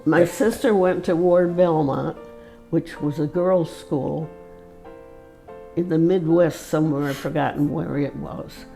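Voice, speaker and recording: Elderly woman, about a hundred, very gravelly, slow, halting storytelling. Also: low-pitched, steady tone, mellow, soft background music. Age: 100s. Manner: slow, halting recollection with many fillers. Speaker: interviewee. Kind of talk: casual storytelling.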